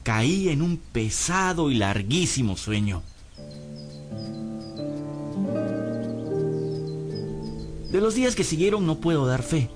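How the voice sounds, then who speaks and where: A man's voice: on the high side, very animated, moderate pace, music in the background. An actor playing a battered, hapless young servant rogue who tells his own story wryly in a radio drama. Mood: rueful, dazed. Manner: wry storytelling, whimpering and stammering when cornered. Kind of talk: dramatised first-person narration in radio drama.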